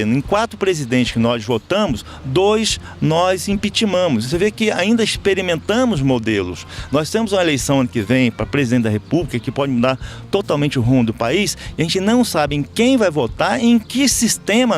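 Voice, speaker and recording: A man's voice: fairly high pitch, big pitch swings, fairly quick, soft background music. A Brazilian man with a Brazilian Portuguese accent, an interview guest speaking reflectively, with restarts and fillers. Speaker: election observer guest. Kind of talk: conversational interview.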